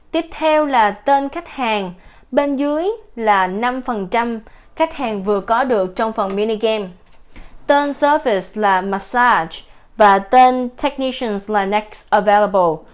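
Higher-voiced woman narrating a software demo. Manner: steady explanatory walkthrough. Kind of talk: scripted software demo voice-over.

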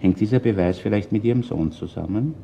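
An actor playing a calm, shrewd middle-aged police chief inspector with an Austrian accent, speaking in a measured, persuasive way in a radio play. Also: male voice, lowish voice, vocal fry, slight background noise. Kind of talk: radio play acting, natural dialogue. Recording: dull band-limited old radio recording.

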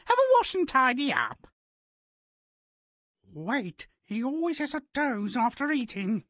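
High, fussy giant's wife. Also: female voice, sing-song, a little slow, fairly bright tone, dry recording, studio quality, full character voice. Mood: fussing, soothing. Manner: fussy, coaxing. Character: motherly giant's wife, fussing and wary. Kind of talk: video game character acting.

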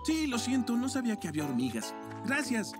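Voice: medium pitch, huge pitch swings, fairly quick. An actor playing a grumpy boy rabbit in a Latin American Spanish children's cartoon dub, plain and mildly huffy. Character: grumpy boy rabbit playmate wanting his ball. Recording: loud music behind the voice.

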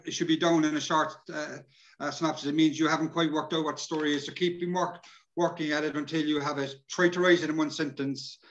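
A man's voice: fairly high pitch, a bit bright, lo-fi sound. A middle-aged man with an Irish accent presents a workshop informally and colloquially over a video call, repeating himself. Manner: colloquial, repetitive, addresses listeners as lads. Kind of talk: informal presentation, partly read from slides.

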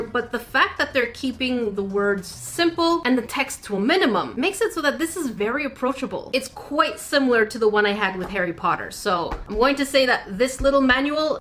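A woman in her thirties whose first language is French, reviewing a craft kit in a chatty vlog from a home studio, with excited squeals and muttered asides. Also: a little high. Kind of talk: casual unboxing vlog.

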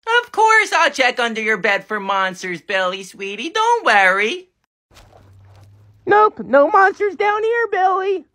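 A woman's voice: very high voice, big pitch swings, moderate pace, creaky, sharp tone, heavily acted. A woman voicing a loving, doting grandmother who fusses over her grandkids in a comedy skit. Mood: soothing. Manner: sweet, fussing over grandbaby.

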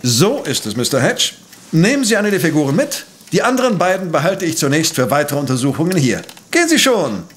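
An actor playing a rational professor detective in an audio drama, speaking precisely and emphatically in a dismissive, pedantic way. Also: male voice, on the high side, sing-song, brisk, a little hiss or hum. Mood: businesslike, commanding. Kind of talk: German audio drama acting.

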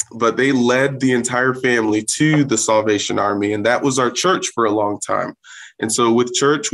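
A man's voice: mid-range voice, fairly bright tone, poor audio. A man around thirty from South Florida, raised speaking Haitian Creole at home, answering interview questions earnestly with many um fillers. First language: Haitian Creole or French. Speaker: guest, Salvation Army corps officer.

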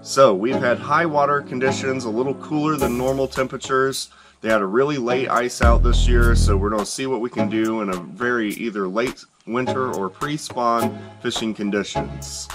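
A man's voice: medium pitch, normal speed. A middle-aged man hosting a fishing vlog, talking steadily and friendly from a small room at home. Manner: steady, friendly presenter talk. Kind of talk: casual vlog narration. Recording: music playing underneath.

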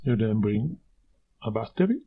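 A man with a husky, slightly gravelly voice presenting an informal hands-on electronics explanation. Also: lowish voice, very animated, normal speed. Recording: dull, lo-fi home video audio.

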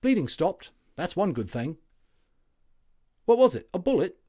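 A man's voice: sits high, big pitch swings, a little slow, mellow, pitched above the performer's own voice, heavily acted. A man voicing a talkative Cockney First World War army medic in a storybook reading. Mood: brisk. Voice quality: chatty, rough-and-ready working-class speech.

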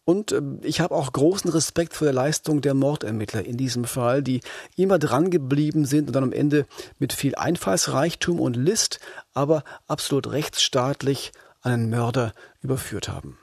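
A man's voice: medium pitch, moderate pace, darkish tone, husky, no echo, studio quality. A man, talking as a journalist and co-host on a true-crime podcast.

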